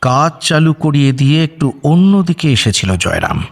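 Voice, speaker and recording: A man's voice: fairly high pitch, very animated, normal speed, vocal fry. A man narrating a suspense story as the storyteller of an audio drama, reading scripted prose dramatically. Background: faint music.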